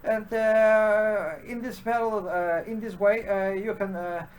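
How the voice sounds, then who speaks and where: A man with a Turkish accent, a native Turkish speaker, giving an unscripted solo commentary for his programming channel, halting and full of "uh" fillers and restarts. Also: high-pitched, steady tone.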